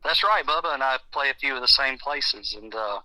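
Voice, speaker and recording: A man's voice: medium pitch, a little fast, bright, forceful tone, dry recording, poor audio. A man from Louisiana, a touring country musician, chatting in an easygoing, friendly way as a radio guest. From Monroe, Louisiana, USA. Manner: easygoing and friendly, laughs often. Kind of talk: casual radio interview.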